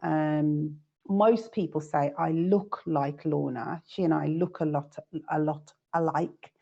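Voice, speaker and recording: A woman's voice: deep voice, fairly even intonation, takes it slowly, creaky, dark tone. A woman being interviewed, recalling her childhood in a hesitant way, with many ums and restarts. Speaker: guest. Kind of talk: casual interview chat. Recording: dull lo-fi sound.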